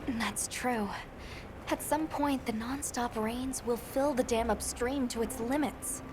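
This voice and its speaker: High-voiced, earnest expedition member. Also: female voice, steady tone, slow pace, background noise. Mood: concerned. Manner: earnest, polite, explanatory. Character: earnest, polite young female expedition member. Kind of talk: video game character acting. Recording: loud music behind the voice.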